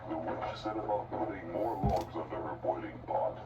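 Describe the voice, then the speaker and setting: A man's voice: deep voice, moderate pace, very noisy. A man narrating a scripted children's fairy tale as the storyteller. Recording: distant mic, loud music behind.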